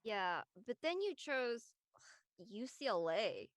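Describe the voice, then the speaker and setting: A level-headed young woman played by an actress speaks casually in an audio drama. Her voice is fairly high and recorded dry and close. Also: big pitch swings, slow pace, no echo. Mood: sincere, hopeful. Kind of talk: casual scripted dialogue.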